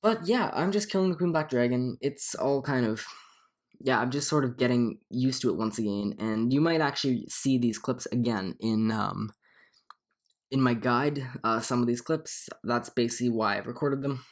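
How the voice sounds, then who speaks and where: Young man, slightly hoarse, gaming commentary voice-over, rambling, lots of ums. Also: mid-range voice, normal speed. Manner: rambling, frequent ums and restarts. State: hoarse from a cold. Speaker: gaming channel host. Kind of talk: casual gameplay commentary.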